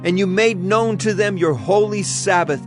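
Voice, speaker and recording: An actor playing a devout Levite praying aloud to God, solemn and declamatory, in a dramatized scripture reading. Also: male voice, high voice, normal speed, music in the background, natural voice. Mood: solemn reverence.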